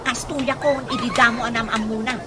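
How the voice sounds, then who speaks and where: Woman playing a high-voiced, vain, defiant wife. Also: steady tone, brisk, very noisy, close to an everyday voice. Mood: impatient, defiant. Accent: Ilocano. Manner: defiant, brushing off criticism. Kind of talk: Ilocano radio comedy drama acting.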